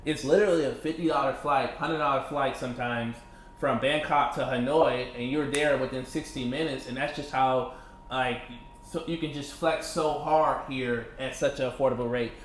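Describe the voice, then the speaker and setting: A man in his thirties from Michigan, chatting casually as an interview guest, animated, laughing often and filling with "you know". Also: mid-range voice, normal speed, a little hiss or hum. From Michigan, USA. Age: 30s. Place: indoors in a condo. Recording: echoey room with faint ambience.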